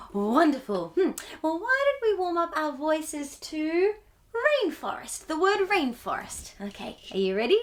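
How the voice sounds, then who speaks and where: Super high voiced lady doing a kids storytime show, bubbly and gushy, really encouraging. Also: very animated, unhurried. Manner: bubbly, exclamatory, gushing encouragement with frequent gasps. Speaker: children's storytime host. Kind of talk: children's read-aloud show presenting.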